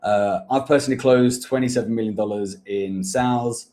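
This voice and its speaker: A man's voice: medium pitch, fairly even intonation, moderate pace, dark tone. A man hosting a live-stream Q&A about getting hired, blunt and coaching, calling people "bro". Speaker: host, recruitment agency owner.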